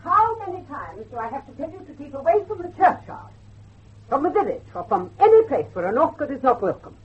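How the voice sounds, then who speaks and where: A stern old grandmother of a gothic household, scolds and commands theatrically. Her voice is very high and swings dramatically in pitch, on a slightly dull horror radio drama recording. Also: big pitch swings, brisk. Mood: scolding.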